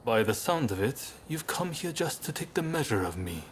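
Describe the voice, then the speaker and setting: A man playing a composed, courteous young samurai rebel leader in a video game, speaking in a polite, measured and earnest way. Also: medium pitch, sing-song, a little hiss or hum, natural voice. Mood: grave, thoughtful. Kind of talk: video game cutscene acting.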